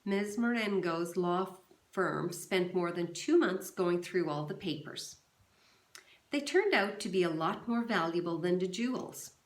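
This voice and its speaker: A woman teacher reading a novel aloud to her class in a calm, steady storytelling manner, recorded in a roomy, echoey space. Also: fairly low pitch, a little slow. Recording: roomy echo.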